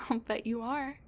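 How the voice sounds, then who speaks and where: A woman playing a sassy, rambling wounded supervillain with a soft side, talking intimately to the listener in a roleplay audio drama, with breathy asides and sighs. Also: sits high, a little hiss or hum, heavily acted. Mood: playful flirting.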